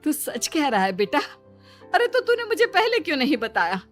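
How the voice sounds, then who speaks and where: An eager, devout mother of a grown son , voiced by a woman storyteller, speaks in a high, emphatic voice and makes demands. It is moral-story dialogue with light music behind it. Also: wildly swooping pitch, moderate pace. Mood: excited.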